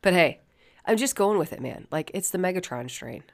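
A woman's voice: lowish voice, expressive, moderate pace, gravelly. A woman hosting a casual solo podcast, scratchy and sniffly from a head cold, candid and rambling, swearing freely. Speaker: podcast host.

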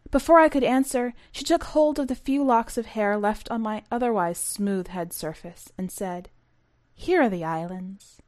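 A woman narrating a short story for a podcast in a measured, precise, scripted audiobook style. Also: mid-range voice, a little mellow.

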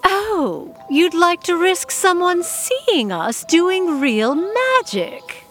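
A woman's voice: very high-pitched, very animated, extremely slow, full character voice. A woman playing a weary, sardonic witch leader in an audio comedy, speaking in a dry, exasperated, theatrical way.

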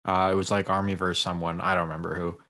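A man's voice: sits low, level tone, racing, fairly bright tone. A man in a casual conversation, easygoing and laid-back as he tells a story. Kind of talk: casual chat.

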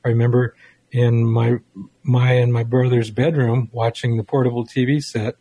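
A man's voice: mid-range voice, flat delivery, normal speed, warm tone, breathy edge, low-quality recording. An American man in his sixties, reminiscing conversationally as co-host of a talk show. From United States. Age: 60s.

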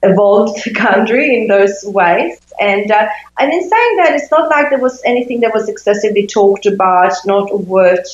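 A woman in her fifties from Sweden, a coach talking through her answers in an interview, pausing and searching for words. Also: mid-range voice, expressive. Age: 50s. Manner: thoughtful, searching for words with many fillers. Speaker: intimacy coach, guest. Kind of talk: interview conversation. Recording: echoey, dull lo-fi sound.

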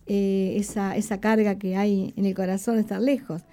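A woman's voice: medium pitch, little pitch movement, normal speed, mellow. A woman hosting a casual live radio show, chatting conversationally with her co-host and addressing listeners.

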